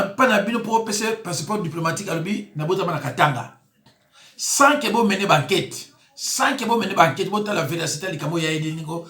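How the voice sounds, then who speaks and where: A man's voice: a little high, fairly bright tone, roomy sound. A man, a pastor giving emphatic, indignant commentary on news clips. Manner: emphatic, indignant, with rising exclamations.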